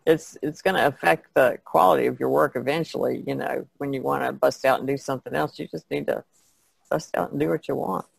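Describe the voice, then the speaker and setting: A woman's voice: rumbling low, fairly even intonation, brisk, gravelly, husky, dry recording. A woman speaking plainly and matter-of-factly as an artist in a casual podcast discussion.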